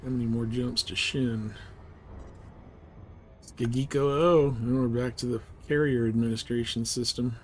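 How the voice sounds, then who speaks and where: A man's voice: medium pitch, fairly even intonation, measured pace, a little hiss or hum. A man livestreaming a game, chatting casually and thinking aloud in a rambling way. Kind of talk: casual gameplay stream chat.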